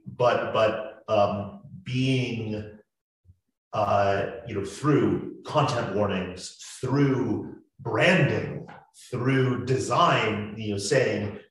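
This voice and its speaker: Man, low voice, slow and halting speaker, panel talk in a very echoey room. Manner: halting, many fillers and restarts. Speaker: panelist, audio drama maker. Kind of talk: panel discussion.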